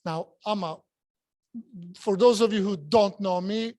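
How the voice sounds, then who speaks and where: A man's voice: sits high, unhurried, dark tone, no room sound, clean studio sound. A man who speaks English as a second language, giving a jokey, self-mocking speech at a club meeting and repeating words for emphasis. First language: not English.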